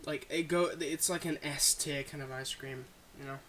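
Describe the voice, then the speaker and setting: A man's voice: a little high, steady tone, normal speed, a bit bright, a little hiss or hum. A man chatting casually to his listeners as an audio creator, in a rambling, informal, sweary way. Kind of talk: casual chat outro.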